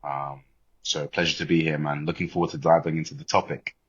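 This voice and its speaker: A man in his twenties with a British accent, answering as a podcast guest in a measured, thoughtful and rather formal way, on muffled audio. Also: a bass voice, little pitch movement, breathy edge. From born in Liberia, grew up in the UK. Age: 20s. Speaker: podcast guest, corporate banker. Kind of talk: reflective interview talk.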